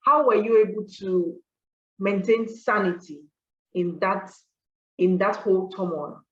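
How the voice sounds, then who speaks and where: A woman interviews conversationally, responding to her guest and building long questions that double back on their own phrases. Her voice comes through dull, with a clearly roomy echo. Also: mid-range voice, unhurried. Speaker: interviewer, host. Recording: dull, echoey room sound.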